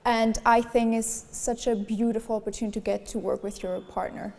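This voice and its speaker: A woman's voice: on the high side, steady tone, fairly quick, creaky, darkish tone. A young woman speaking as a panelist, poised and articulate. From Greece. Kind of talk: panel discussion answers. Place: conference hall on stage. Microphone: handheld mic. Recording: reverberant conference hall.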